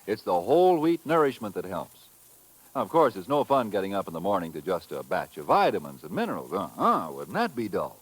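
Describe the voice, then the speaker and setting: A man delivering an old-time radio commercial as an emphatic, folksy pitchman. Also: big pitch swings, a little fast, husky, low-quality recording. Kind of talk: 1950s radio commercial, folksy hard sell.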